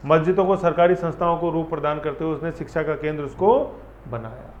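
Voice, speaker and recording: A man's voice: on the high side, fairly quick, slight background noise. Chatty male teacher, echoey room. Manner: chatty, repetitive, quizzes students. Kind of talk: exam-prep history lecture. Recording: echoey room, dull audio, faint ambience.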